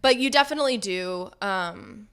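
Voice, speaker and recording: Young female singer-songwriter guest, low husky-edged voice with a bright ring, chatty and giggly. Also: animated, normal speed. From Los Angeles area. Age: young adult. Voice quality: low speaking voice, slightly raspy. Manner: giggly, lots of "like", quotes herself in asides. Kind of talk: casual interview chat.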